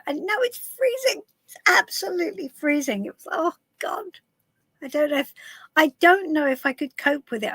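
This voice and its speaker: A middle-aged woman from southwest London, her voice a little husky, chatting casually to viewers on a live stream from inside a camper van, calling people darling. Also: sits high, dry recording, low-quality recording. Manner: chatty, warm greetings to viewers, calls people darling and love. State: a bit husky voice. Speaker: tarot reader hosting a live stream.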